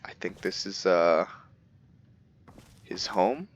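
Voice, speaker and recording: A man hosting a gaming video, musing aloud in a relaxed way as he plays and comments on what he finds. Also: sits low, slow, dull audio. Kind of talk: casual game commentary.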